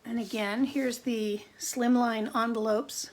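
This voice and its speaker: A middle-aged woman with a gravelly voice, chatting and thinking aloud through a live card-making demo at her craft desk. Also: fairly high pitch, fairly even intonation, slow, darkish tone, poor audio.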